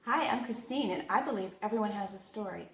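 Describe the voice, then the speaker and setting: A woman's voice: mid-range voice, fast, fairly bright tone. A woman hosting an interview show, chatty and upbeat, laughing easily with her guest. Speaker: host. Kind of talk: casual interview talk. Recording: roomy sound from a distance, faint ambience.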